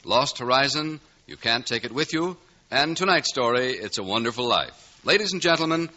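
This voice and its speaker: A man's voice: medium pitch, expressive, moderate pace, sharp tone, a little hiss or hum. An American man announcing a 1940s radio show in a formal, grand, declamatory scripted style. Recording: dull 1940s radio, music behind.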